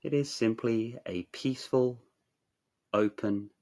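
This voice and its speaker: A man narrating a guided meditation in a measured, soothing, instructional way, leaving long pauses. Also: mid-range voice, a little mellow.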